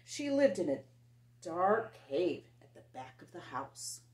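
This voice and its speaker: A middle-aged woman reads a picture book aloud to young children with theatrical emphasis and dramatic pauses. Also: lowish voice, big pitch swings, a little mellow. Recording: roomy echo on the voice.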